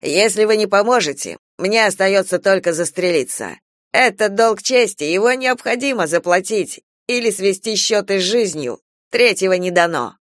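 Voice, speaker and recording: Guy doing a young gambler nephew, higher voice, melodramatic and pleading, drags words out, dry sound. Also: animated, fairly bright tone, dry recording. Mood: desperate. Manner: theatrical, drawn-out, emphatic.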